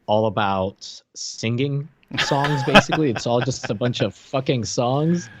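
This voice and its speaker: A man's voice: medium pitch, a little slow, background noise. A man in his thirties chatting casually as a podcast co-host, teasing and joking, on a close desk microphone. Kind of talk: casual chat. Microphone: close desk microphone, video call.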